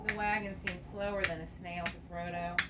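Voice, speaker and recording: A woman's voice: lowish voice, flat delivery, normal speed. A woman reading scripted story narration for an amateur radio theater piece. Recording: clearly noisy amateur recording.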